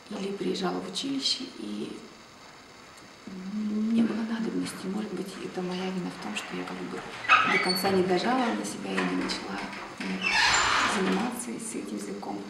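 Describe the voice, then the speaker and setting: A thoughtful woman from Russia answers interview questions at an unhurried pace, her voice often sinking softly at the ends of phrases. The voice has a gravelly, fry-tinged edge and is recorded from some distance in an echoey room, with clear background noise. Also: mid-range voice. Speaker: ballet dancer, interviewee. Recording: roomy, distant mic, noisy background.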